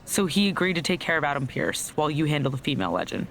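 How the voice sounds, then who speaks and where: A woman's voice: low-pitched, very animated, fast, a little hiss or hum. An actress playing a scheming, self-serving female wrestler in a video game cutscene, talking in a casual, conspiratorial way. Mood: sly, persuasive.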